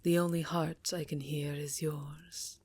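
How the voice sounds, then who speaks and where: A woman playing an elegant, affectionate yet guarded vampire countess in intimate roleplay ASMR, with a formal, theatrical, breathy murmur. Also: low voice, level tone, measured pace, a little mellow, no echo. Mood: troubled, guarded. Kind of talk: roleplay ASMR acting, intimate address to listener.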